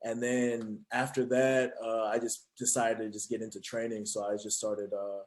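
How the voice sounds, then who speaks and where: A man's voice: on the low side, little pitch movement, moderate pace, a little mellow. A young man from Fresno, California, now a fitness trainer, telling his story earnestly as a podcast guest. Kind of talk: casual interview.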